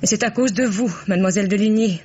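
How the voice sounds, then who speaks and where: A woman's voice: mid-range voice, fast, dark tone, close to an everyday voice. A middle-aged mother played by an actress speaks with stunned, icy composure and formal control. It sounds like an old radio play, muffled and lo-fi with a layer of noise. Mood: cold, accusing. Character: bereaved mother, stunned, coldly composed and accusing. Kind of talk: 1950s French radio drama acting. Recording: dull lo-fi old radio, noisy.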